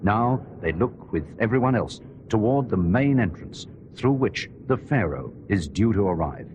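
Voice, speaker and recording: A man plays a solemn court reporter in a radio drama, commentating live on a royal ceremony. His voice is low and his delivery measured and reverent. Clear ambient noise runs behind him. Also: expressive. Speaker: outside-broadcast commentator.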